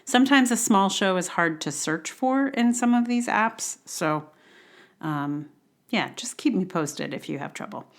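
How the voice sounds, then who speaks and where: A woman's voice: mid-range voice, lively intonation, measured pace. A woman hosting a podcast, talking casually and informally in an unscripted introduction. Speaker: podcast creator and host.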